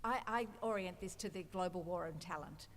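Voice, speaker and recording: A woman's voice: on the low side, normal speed. A woman speaking as a panelist, restarting as she goes, in an echoey hall. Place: large indoor event hall. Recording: echoey hall, noisy room ambience.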